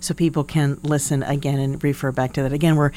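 A woman's voice: deep voice, flat delivery, fast, dark tone. A woman hosting a conversational studio interview, putting questions to a guest. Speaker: radio interviewer. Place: radio studio. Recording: background hum and noise.

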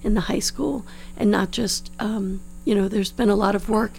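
A woman in her fifties from New Orleans, slightly hoarse, giving earnest, explanatory answers as a political candidate in a studio interview. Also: medium pitch, steady tone, normal speed, darkish tone, rough recording. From New Orleans, United States. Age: 50s. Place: radio studio. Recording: clear background hum and noise.

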